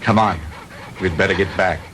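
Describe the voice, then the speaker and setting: A persistent, helpful reporter in a 1960s B-movie. His voice sits low with a bright, forward edge, over the light noise of an old film soundtrack. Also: normal speed. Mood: gently concerned. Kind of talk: 1960s B-movie dialogue acting.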